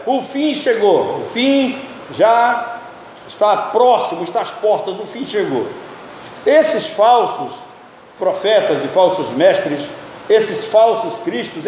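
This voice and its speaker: A high-pitched man preaches in a teaching style, turning to the congregation with questions. His voice rings through a big hall with a long reverb tail, and a faint hiss sits underneath. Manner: teaching, asks the listeners questions. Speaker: pastor and Bible teacher. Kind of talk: sermon.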